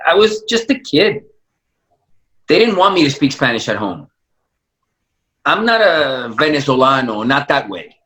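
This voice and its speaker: A wry, defensive young Californian man, played by an actor. His fairly high voice moves around a lot in pitch, and he tends to laugh things off. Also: sing-song, a little slow, long pauses, sharp tone, heavily acted, poor audio. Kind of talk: play reading.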